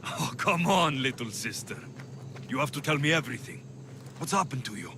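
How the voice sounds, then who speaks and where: An actor playing a roguish, headstrong young warrior in a dramatic video game. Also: male voice, mid-range voice, lively intonation, moderate pace, background noise, lightly acted. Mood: insistent, exasperated.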